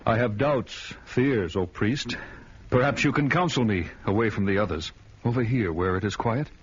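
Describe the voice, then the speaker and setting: An actor playing a vengeful barbarian warrior, narrating his quest in a grim, measured way. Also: on the low side, husky. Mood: wary. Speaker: narrator and hero. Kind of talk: first-person radio drama narration. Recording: dull lo-fi audio with music behind.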